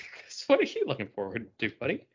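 A man's voice: mid-range voice, wildly swooping pitch, moderate pace, husky, dull audio. A man chatting casually and informally as a podcast co-host, with bantering delivery. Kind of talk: casual chat about comic books.

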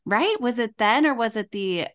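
A woman's voice: fairly high pitch, big pitch swings, moderate pace. A woman hosting a podcast, chatting casually and bubbly, laughing often and talking off the cuff. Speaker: podcast host.

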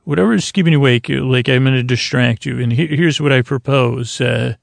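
A man with a creaky voice hosting a bedtime podcast in a languid, meandering, soothing ramble, often stumbling over his words. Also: medium pitch, a little fast, dry recording. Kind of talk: rambling, soothing sleep-aid monologue.

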